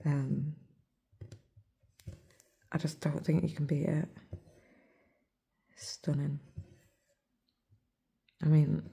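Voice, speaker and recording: A hoarse English woman talks in a soft, near-whispered murmur as she chats casually over her stitching. Her low voice stays level and mellow, with a gravelly, husky roughness. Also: level tone, drawn-out words, long pauses. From England. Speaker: cross-stitch vlogger. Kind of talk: casual chat while stitching. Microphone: phone.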